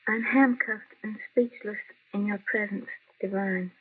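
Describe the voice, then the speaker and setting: A woman's voice: medium pitch, steady tone, unhurried, breathy edge. An actress playing a worldly, tough yet lovelorn city newspaperwoman in a vintage radio drama. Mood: tender, sorrowful. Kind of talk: 1930s-40s radio drama acting. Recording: muffled, lo-fi old radio recording.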